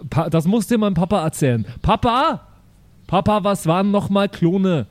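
A man's voice: high-pitched, expressive, moderate pace, no echo, pitched above the performer's own voice. A man voicing a naive, dim-witted, chatty son from the future, with childlike slangy talk full of verbal tics, in an improvised audio roleplay.

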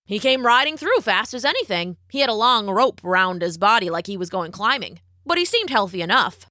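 A woman's voice: medium pitch, sing-song, fairly quick, sharp tone, dry recording, clean studio sound. A woman voicing a plain-spoken, concerned storybook villager with an emphatic, questioning way of talking. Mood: puzzled. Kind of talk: storybook character voice.

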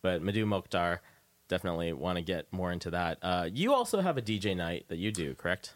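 A man's voice: low-pitched, very animated, brisk. A man hosting a casual interview show on the radio, chatting informally from a studio.

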